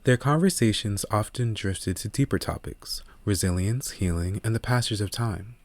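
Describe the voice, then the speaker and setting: A man reading scripted audiobook narration in a measured, earnest way, close to the microphone. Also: on the low side.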